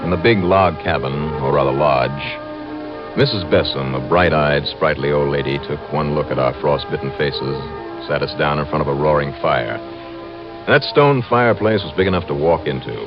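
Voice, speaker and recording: An actor playing a tough, steady investigator who narrates his case in 1950s radio drama style. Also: extremely low pitch, normal speed, lo-fi sound, music in the background. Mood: wry, steady. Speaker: narrator and lead. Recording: band-limited old radio recording.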